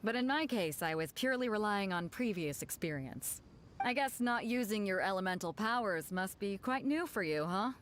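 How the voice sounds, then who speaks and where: A woman's voice: mid-range voice, lively intonation, moderate pace, a bit bright, slight background noise, natural voice. An actress voicing a confident, seasoned female ship captain in a video game, wry and teasing. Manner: wry, teasing, self-assured.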